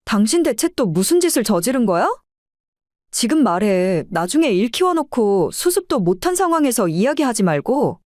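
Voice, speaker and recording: A woman's voice: on the high side, very animated, normal speed, darkish tone, no echo, clean studio sound. A woman playing a fed-up, assertive wife confronting her family in an audio drama. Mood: demanding.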